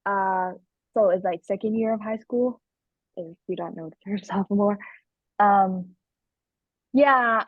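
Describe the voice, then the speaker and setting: Young Thai-native woman, slow halting delivery, dry muffled call audio. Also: fairly high pitch, dry recording. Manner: hesitant, many ums. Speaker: student guest speaker. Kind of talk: webinar Q&A. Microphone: video call.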